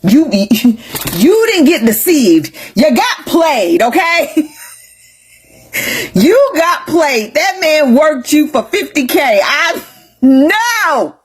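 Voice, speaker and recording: A woman's voice: sits high, very animated, drawn-out words. A woman giving blunt, profane commentary, scolding someone who was scammed. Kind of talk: reaction commentary video.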